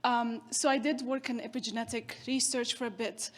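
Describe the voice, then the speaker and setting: Chatty keynote woman, higher voice. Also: steady tone, normal speed. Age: 30s. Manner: chatty, storytelling with self-deprecating humor. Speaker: keynote speaker. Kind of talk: conference keynote talk.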